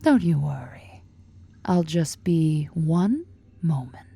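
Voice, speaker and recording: A woman plays an imperious spider-woman of fantasy audio roleplay, very dark and velvety in tone. She speaks low and unhurried, with a husky breath, teasing as she gives commands. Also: sing-song, no room sound, heavily acted. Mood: sultry, tender. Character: powerful, imperious, flirtatious female drider, half-spider monster. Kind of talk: ASMR fantasy roleplay acting.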